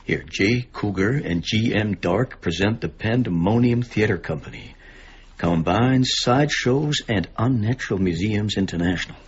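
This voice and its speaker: Deep-voiced anxious small-town father. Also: expressive, moderate pace, slightly rough. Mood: urgent, conspiratorial. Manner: hesitant, stammering, earnest. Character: anxious, protective middle-aged small-town librarian father. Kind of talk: radio drama acting and first-person narration. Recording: muffled radio broadcast with faint music.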